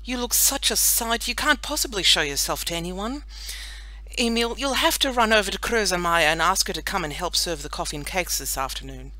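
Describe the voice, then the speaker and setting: A woman's voice: mid-range voice, expressive, fairly quick, pushed and bright, low-quality recording. A woman voicing a stern but caring farm mother, firm and scolding, in a children's audiobook. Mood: brisk, instructing.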